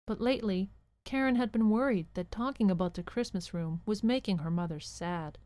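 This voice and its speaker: A woman narrating an audio drama as a gentle, earnest storybook storyteller. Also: medium pitch, a little fast, dry recording, close to an everyday voice. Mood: gentle storytelling. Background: music.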